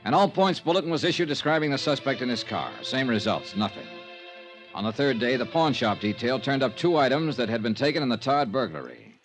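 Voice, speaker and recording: Male actor as hard-boiled insurance investigator, terse and dry, medium pitch, music underneath, vintage radio drama. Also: animated, a little fast, natural voice. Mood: businesslike, dry. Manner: clipped, matter-of-fact. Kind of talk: 1950s radio detective acting and narration.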